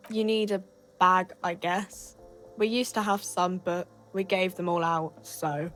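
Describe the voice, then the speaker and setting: Slow, offhand, low-voiced shop worker. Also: female voice. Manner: offhand, halting, casual. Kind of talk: casual video game dialogue.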